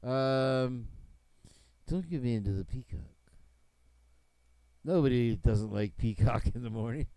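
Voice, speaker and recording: A male host chats casually on a livestream, joking and wandering from topic to topic. His voice is smooth and resonant, at an ordinary pitch. The recording is dry, with no echo. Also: a little slow, pauses often, warm tone. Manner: joking, rambling, laughs often.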